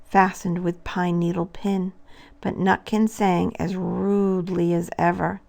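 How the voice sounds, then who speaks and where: Woman reading a children's story, creaky voice. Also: lowish voice, level tone, a little slow, a little mellow. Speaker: storybook reader. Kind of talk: scripted children's story narration.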